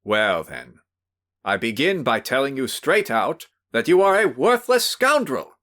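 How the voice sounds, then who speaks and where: A man voicing a stern, angry gentleman who shouts orders in a storybook reading. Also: fairly low pitch, lively intonation, unhurried. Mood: menacing. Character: angry, commanding middle-aged gentleman.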